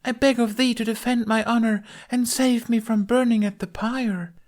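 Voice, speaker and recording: A man's voice: extremely high pitch, fairly even intonation, normal speed, darkish tone, pitched above the performer's own voice. A man voicing a smug male rabbit in drag who hams up a damsel's overwrought, archaic lament in a story. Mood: mock lamenting.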